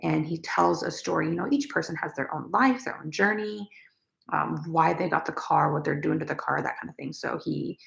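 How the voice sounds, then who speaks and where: A young woman with a naturally raspy voice, chatting casually with viewers on a crochet livestream and rambling with lots of fillers. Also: deep voice, moderate pace, fairly bright tone. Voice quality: raspy, gravelly. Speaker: crochet vlogger. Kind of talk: casual livestream chat, reading comments.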